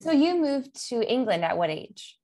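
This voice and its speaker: A woman's voice: sits high, very animated, moderate pace, warm tone. A young woman co-hosting a podcast, interviewing a guest with long, loosely built questions as she searches for words.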